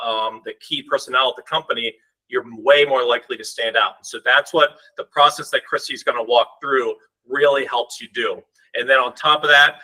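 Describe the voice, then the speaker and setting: A man's voice: medium pitch, bright, forceful tone, poor audio. A middle-aged man from Ohio, a co-founder, explaining a job-search product on a webinar in a casual, conversational way over a home webcam. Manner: conversational, explanatory, fillers and restarts. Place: home room indoors. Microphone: video call webcam.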